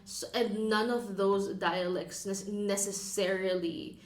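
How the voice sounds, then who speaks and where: A woman's voice: medium pitch, steady tone, takes it slowly. A woman with a mid-range voice talks casually on a podcast. She explains things hesitantly and often restarts her sentences. Her voice rings through a roomy, echoing space. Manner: hesitant, many fillers and restarts while explaining. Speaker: podcast co-host. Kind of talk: casual chat. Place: warehouse. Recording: echoey room.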